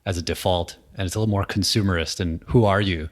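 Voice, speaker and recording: Deep-voiced man explaining thoughtfully. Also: steady tone, fast. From New York, USA. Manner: thoughtful, explanatory, with frequent ums. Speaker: guest, innovation consultant. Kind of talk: conversational interview. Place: indoor room with a small audience.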